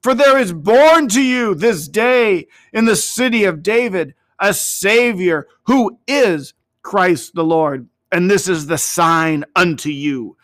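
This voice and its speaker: A man's voice: sits high, expressive, slow pace, hardly any pauses, fairly bright tone, dry recording, studio quality, voice pushed up, heavily acted. Man as a ringing, majestic angel. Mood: exalted. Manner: ringing, solemn, declamatory. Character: majestic angel proclaiming glad tidings.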